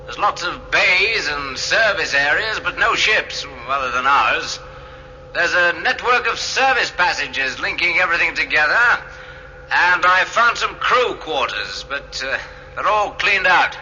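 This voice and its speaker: A man's voice: a little high, expressive, unhurried, sharp tone, highs cut off, a little hiss or hum, exaggerated voice. An actor playing an observant, matter-of-fact starship crewman reasoning things out in a radio drama.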